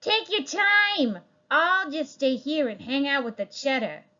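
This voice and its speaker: A woman's voice: high voice, big pitch swings, a little slow, sharp tone, voice pushed up, full character voice. A woman voicing a sneaky, greedy male rat in a read-aloud story, sly and drawling. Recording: slightly dull recording.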